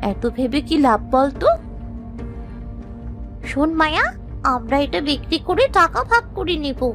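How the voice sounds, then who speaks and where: A woman acts out an excitable young village girl in a children's cartoon. The voice is extremely high and swings widely in pitch, exclamatory and theatrical, with music playing clearly behind it. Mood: scornful, eager. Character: young village girls, excitable and squabbling sisters. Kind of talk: children's moral-story cartoon acting.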